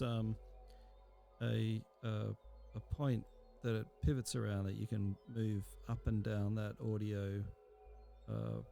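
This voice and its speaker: Slow, gravelly-voiced male instructor. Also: lowish voice, mellow. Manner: unhurried, thinking aloud with fillers. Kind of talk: screen-recorded software tutorial.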